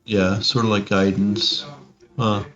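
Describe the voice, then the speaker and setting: A man's voice: fairly low pitch, flat delivery, slow, a bit bright, lo-fi sound, faint music behind. A man co-hosting a podcast, chatting casually about tabletop games in a hesitant, noncommittal way. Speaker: podcast co-host.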